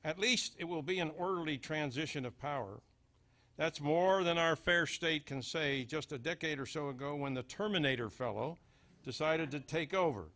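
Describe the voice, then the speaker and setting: A man's voice: fairly high pitch, moderate pace, fairly bright tone. A man delivering a scripted humorous small-town monologue as a storyteller, with folksy, dry understatement. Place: live before an audience.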